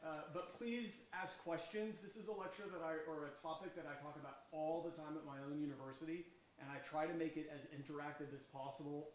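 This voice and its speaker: A man with a cold giving an informal, interactive university lecture in a loud voice that drops into quiet asides. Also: a little high, a little fast, few pauses, a little hiss or hum. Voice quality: loud, projecting voice. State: has a cold. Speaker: guest lecturer, medical researcher. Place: lecture hall. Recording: echoey lecture hall.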